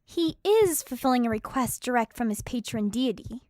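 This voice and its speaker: A woman acting as a young female fantasy adventurer. Her voice is high and polite, with a reasoning, matter-of-fact manner, recorded dry for an audio drama. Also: animated, normal speed, a little mellow, no room sound, close to an everyday voice. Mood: reasoning, uneasy. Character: Nyla, level-headed, gently doubting young female adventurer.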